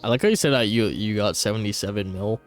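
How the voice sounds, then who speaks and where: A man's voice: lowish voice, fast. A man chatting casually and off the cuff as he streams a game. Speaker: gaming streamer. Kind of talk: casual off-the-cuff chat while gaming. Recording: faint game music behind.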